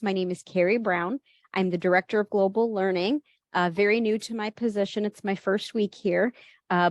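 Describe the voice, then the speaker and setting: A woman speaks in a medium-pitched voice with a very dry sound. She introduces herself informally on a video call as a college staff member. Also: no echo. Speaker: college global learning director.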